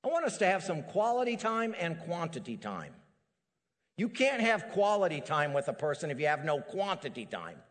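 A middle-aged man preaching a sermon as a pastor, animated and building to emphatic peaks. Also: a little high, moderate pace. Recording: long hall reverb.

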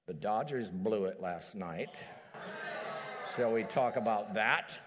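A middle-aged man preaching a sermon as a pastor, animated and building to emphatic peaks. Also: lowish voice, slow pace. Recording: long hall reverb.

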